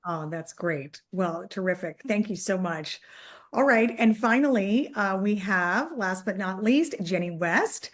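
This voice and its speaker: A woman's voice: medium pitch, lively intonation, unhurried. A woman hosting an online executive panel, introducing guests in an upbeat, chatty way with many fillers. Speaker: host.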